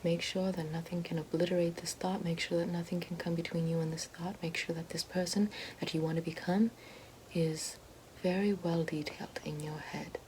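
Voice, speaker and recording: Woman doing an evil queen witch for asmr, kinda low soft whispery voice, slow n formal, menacing, noisy background. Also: fairly even intonation, natural voice. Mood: commanding, hushed. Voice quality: soft, breathy, often whispered. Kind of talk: ASMR fantasy roleplay.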